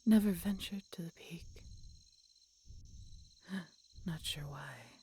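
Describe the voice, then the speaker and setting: Woman voicing a low, whispery, ancient wounded dragoness. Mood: wistful, tender. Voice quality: breathy, whispery. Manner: slow, halting, tender delivery with long pauses. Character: ancient injured female dragon, dying, gentle and tender. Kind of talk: audio roleplay acting, addressed intimately to the listener. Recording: clear background noise, night insects. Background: night ambience with crickets.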